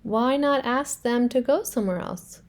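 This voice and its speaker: A woman voicing a calm, matter-of-fact female pig in a children's storybook read-aloud, answering patiently. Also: fairly high pitch, normal speed, natural voice.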